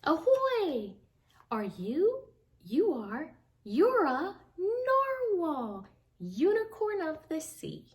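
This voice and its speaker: A woman voicing a boisterous young female otter explorer in a storybook, loud and exclamatory. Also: very high voice, huge pitch swings, very slow, pitched above the performer's own voice, exaggerated voice. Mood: excited.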